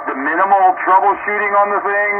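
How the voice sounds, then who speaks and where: A man's voice: high-pitched, steady tone, brisk, phone-quality sound. A man in casual, rambling conversation over a two-way radio link. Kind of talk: casual chat. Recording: distant, very band-limited, noisy radio. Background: clear radio static and noise.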